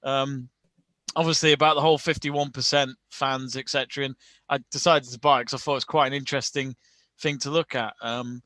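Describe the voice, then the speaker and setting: A man co-hosting a live football watch-along, talking casually with frequent restarts and quick laughs. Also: medium pitch, fairly even intonation, sharp tone, dry recording. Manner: chatty, many restarts and laughs. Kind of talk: casual live-stream chat.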